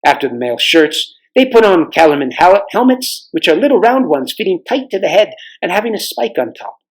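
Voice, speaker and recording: A man narrates a children's novel as a read-aloud. His voice sits a little higher than usual for a man, and he delivers it as storybook narration. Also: sing-song, brisk. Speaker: volunteer storybook reader.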